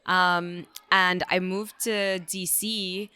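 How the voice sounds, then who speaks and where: A woman from Trinidad answers interview questions with earnest, halting speech full of fillers. Her voice is fairly low but bright and crisp, recorded close and dry. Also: flat delivery, no room sound. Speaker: architect, interview guest.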